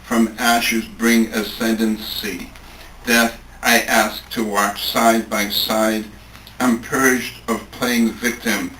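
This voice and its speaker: Echoey, bright-voiced man reading poetry. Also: on the low side, little pitch movement, slow. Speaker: poetry reader at a tribute event. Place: live event room, recorded from a distance. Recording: echoey room, distant mic, faint noise.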